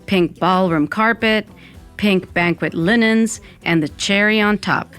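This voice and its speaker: A woman's voice: lowish voice, lightly acted. A woman playing a sardonic undead woman, narrating an audio fiction in the first person. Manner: wry, dramatic, peppered with Spanish exclamations. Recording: music bed under the voice.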